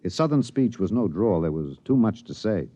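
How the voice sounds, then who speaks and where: An actor playing an earnest, somber news reporter, narrating solemnly in a 1950s radio drama. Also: male voice, fairly low pitch, sing-song, moderate pace, warm tone, dry recording. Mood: somber, wistful. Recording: dull 1950s radio recording.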